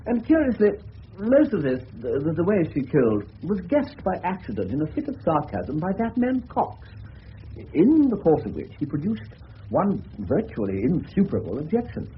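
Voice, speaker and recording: An actor playing a genial, avuncular English police detective in a radio drama, courteous and explanatory with a slightly hesitant delivery. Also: male voice, a little high, huge pitch swings, normal speed. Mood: explanatory, relishing. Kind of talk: 1970s British radio drama acting. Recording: muffled old radio recording with hiss.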